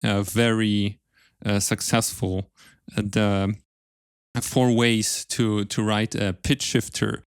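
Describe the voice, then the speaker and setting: Male interviewer, clean resonant tone, dry close sound, thoughtful and halting. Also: on the low side, flat delivery, clean tone, no echo. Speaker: podcast host. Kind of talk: conversational interviewing.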